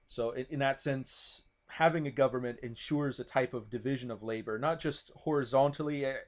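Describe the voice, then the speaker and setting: Guy explaining his political views, english not his first language, lots of ums and restarts. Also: mid-range voice. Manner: thoughtful, many restarts and fillers. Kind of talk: casual discussion.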